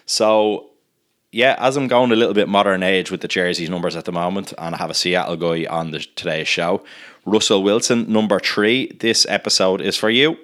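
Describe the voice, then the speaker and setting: Deep-voiced man, echoey room. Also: fairly even intonation, moderate pace, a bit bright. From Ireland. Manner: chatty, reads news aloud. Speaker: podcast host. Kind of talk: casual podcast interview.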